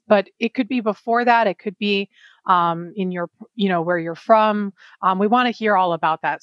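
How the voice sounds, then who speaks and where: A woman's voice: medium pitch, no echo. A woman co-hosting a podcast, speaking conversationally as she interviews a guest. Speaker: podcast co-host.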